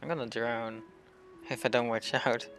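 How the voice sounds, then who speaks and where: A man's voice: mid-range voice, level tone, normal speed, fairly bright tone, slight background noise. A man giving casual, playful gameplay commentary as a video game streamer.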